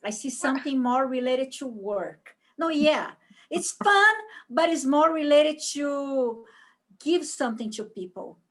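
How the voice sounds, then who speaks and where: A woman improvising a tarot reading on a video call, halting and repeating phrases as she goes. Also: sits high, lively intonation, fairly bright tone. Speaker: tarot reader.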